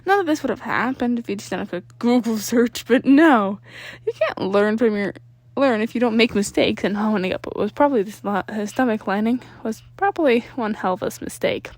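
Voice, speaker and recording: Expressive, high-voiced woman reading a story. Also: fairly quick, no room sound. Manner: informal, stumbles over words and rereads. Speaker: amateur fan fiction reader. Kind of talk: expressive audiobook narration.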